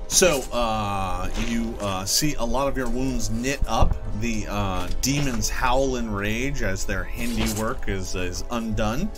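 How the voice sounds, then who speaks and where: A man's voice: on the low side, takes it slowly, hardly any pauses. A man running a tabletop game as game master, narrating off the cuff in a casual, joking way. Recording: music playing behind the voice. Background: music.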